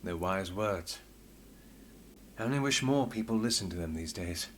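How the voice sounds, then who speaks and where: An actor playing a composed, wry investigator with a measured, polite manner in a fully voiced video game. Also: male voice, sits low, animated, slight background noise. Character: composed male investigator, former street thief, wry and courteous. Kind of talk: scripted video game voice acting.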